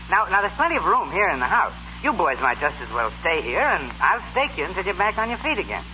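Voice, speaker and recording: A man's voice: sits high, big pitch swings, fast. An actor playing a tipsy upper-class young man in a 1930s radio comedy, muddled and formally polite, by turns blustering and courteous. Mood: friendly, confiding. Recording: scratchy, hissy 1930s radio broadcast, dull.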